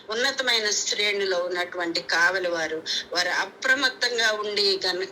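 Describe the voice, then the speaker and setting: A woman's voice: mid-range voice, sharp tone. A woman from India preaching a Bible teaching in an explanatory way, repeating points for emphasis. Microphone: conference call. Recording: noisy prayer conference call audio.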